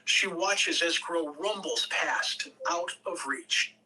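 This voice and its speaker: A woman reading stage directions as narrator at a table read, measured, with occasional stumbles over words. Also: fairly low pitch, bright, forceful tone, recorded from a distance, rough recording.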